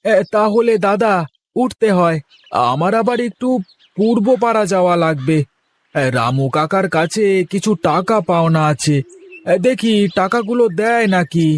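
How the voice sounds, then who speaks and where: A man's voice: sits high, animated, unhurried. A man voicing a chatty, nosy village character in a cartoon, with colloquial, questioning talk. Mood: casual, chatty. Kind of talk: cartoon dialogue.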